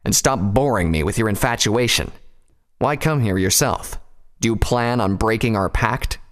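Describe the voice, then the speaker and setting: Man doing a gruff, gravelly royal big brother. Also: fairly low pitch, fairly even intonation, racing, in a large hall. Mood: scornful, irritated. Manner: blunt, challenging, formal.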